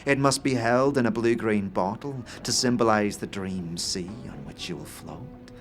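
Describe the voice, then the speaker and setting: A man voicing a wild-eyed, eccentric, middle-aged inventor in a fantasy audio drama, speaking grandly and cryptically with theatrical pauses. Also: on the low side, faint music behind, natural voice. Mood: matter-of-fact.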